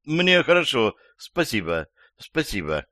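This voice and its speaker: A man's voice: mid-range voice, very animated, measured pace, bright, forceful tone, no room sound, clean studio sound. Man doing a hesitant, bumbling, nervous fellow. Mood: flustered. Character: slow, unsure, obedient man, a nervous hapless killer.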